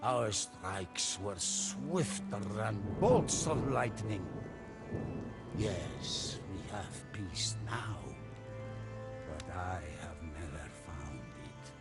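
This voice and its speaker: A man's voice: low-pitched, lively intonation, very slow, gravelly, voice pushed down, exaggerated voice. Man voicing a brooding, muttering old war veteran. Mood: grim, haunted. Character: grim, haunted elderly male war veteran. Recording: music behind the voice.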